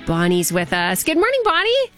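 A woman's voice: a little high, wildly swooping pitch, normal speed, a bit bright, faint music behind. A woman hosting a morning radio show, chatty and bubbly and joking at herself, on a studio microphone. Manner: chatty, bubbly, self-deprecating. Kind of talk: casual radio banter.

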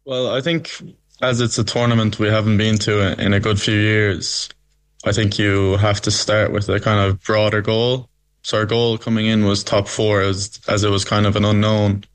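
Young Irish-accented man, low and flat. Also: moderate pace. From Belgium. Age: young adult. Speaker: interview guest, rugby captain. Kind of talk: casual interview answers.

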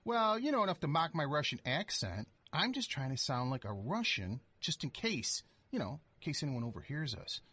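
A man playing a calm, reassuring young husband. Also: fairly high pitch, moderate pace, hardly any pauses, husky, voice pushed up, exaggerated voice. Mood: coaxing. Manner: reassuring, coaxing. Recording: music underneath.

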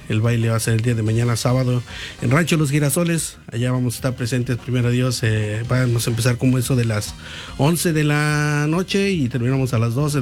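A man's voice: mid-range voice, a little mellow. A middle-aged man from Puebla with a Mexican accent, a humble and chatty band leader talking about his group.

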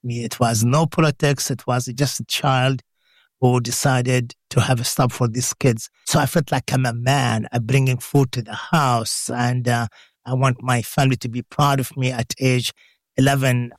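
A man in his sixties from Jordan with a Lebanese Arabic accent, an author telling his life story in an interview in lively fashion, acting out the voices of others. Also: mid-range voice, moderate pace, no room sound. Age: 60s. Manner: animated, acts out quoted voices with laughter.

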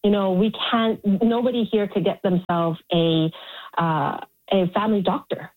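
Over a muffled, narrow phone line, a middle-aged woman gives her views to a radio show. She is frank and emphatic, speaking off the cuff and repeating words to press a point. Her pitch sits in an ordinary range for a woman. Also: steady tone, normal speed. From Montreal, Canada. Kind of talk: spontaneous opinionated phone-in talk.

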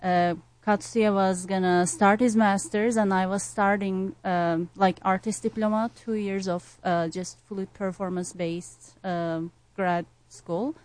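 A woman in her thirties who grew up in Turkey talks through her life as a flutist in an easygoing interview. Her pitch sits in the middle of the range, and her delivery is thoughtful and unhurried, dotted with little fillers as she gathers her thoughts. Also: steady tone, a little mellow, rough recording. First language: Turkish. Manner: thoughtful, uses many fillers. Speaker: guest, flutist. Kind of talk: conversational interview.

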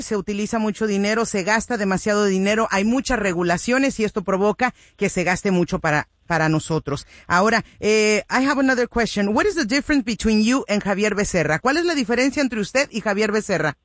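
A woman's voice: medium pitch, lively intonation, fast talker, few pauses, no room sound, clean studio sound. A woman hosting a radio interview, summarizing each answer in Spanish with frequent fillers.

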